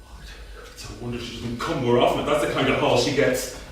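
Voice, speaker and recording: A man's voice: medium pitch, steady tone, fairly quick, lightly acted. A man playing a proud, persuasive Dublin salesman and family man, in a staged reading. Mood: exasperated. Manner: smooth-talking, insistent. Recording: echoey theatre from far off, faint noise.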